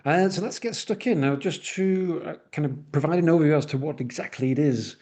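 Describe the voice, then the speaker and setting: Manchester guy giving a webinar, kinda gravelly and dark, restarts a lot. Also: a little high, fairly quick. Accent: Mancunian. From northwest England. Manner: talks with frequent uhs and restarts. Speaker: presenter. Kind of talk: webinar presentation.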